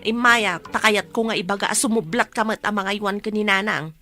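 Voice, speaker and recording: A woman's voice: mid-range voice, fairly quick, pushed and bright, faint music behind. An actress playing a weary, resentful daughter caring for her mother, in an Ilocano-accented radio drama, exasperated and complaining. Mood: pleading, frustrated.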